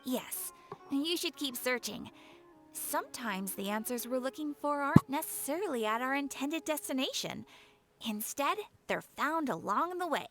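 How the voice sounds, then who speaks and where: A woman's voice: sits high, animated, normal speed, faint music behind. An actress playing a friendly young female guild receptionist, informal and reflective, in an anime game.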